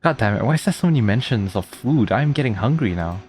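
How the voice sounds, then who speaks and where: A man's voice: mid-range voice, animated, fast talker, a little mellow. A man giving casual playthrough commentary as a video game streamer. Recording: game music behind the voice.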